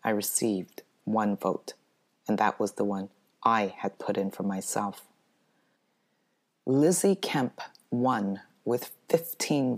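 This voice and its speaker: A woman author reading her own spooky story as dramatic scripted narration, in a measured, deliberate manner. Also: extremely low pitch, darkish tone.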